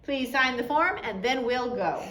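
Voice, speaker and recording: A woman reading the lines of a kindly, protective male sea captain in a storybook, in a measured way. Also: on the high side, expressive, crowd noise. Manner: kind, measured. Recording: roomy echo with faint background noise.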